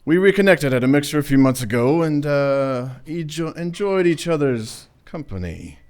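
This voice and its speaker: A man's voice: a little high, lively intonation, moderate pace, a little mellow, smooth, lightly acted. A man playing a suave, guarded gangster's son in a noir radio play, talking casually with cagey hesitations. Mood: defensive, casual.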